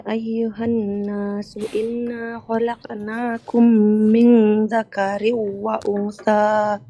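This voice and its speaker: A woman recites a Quranic verse in a measured, even way, then reads its translation aloud. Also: a little high, dry recording, muffled recording. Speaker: Quran reciter invited to read.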